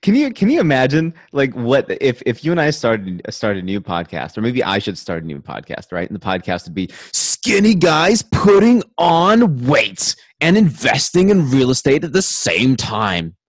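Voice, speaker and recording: A man's voice: medium pitch, wildly swooping pitch, moderate pace, few pauses, no echo. A man in casual podcast chat, joking often and restarting his sentences. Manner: restarts and stammers, laughs a lot. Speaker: podcast co-host.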